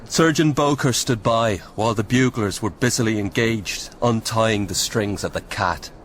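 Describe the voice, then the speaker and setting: A grave sergeant acted by a man in a radio play, speaking in a low voice with the measured weight of an eyewitness storyteller. He sounds weary and sombre, over a slightly noisy old broadcast recording. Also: a bit bright, close to an everyday voice. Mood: grave, haunted. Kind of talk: radio drama acting and narration.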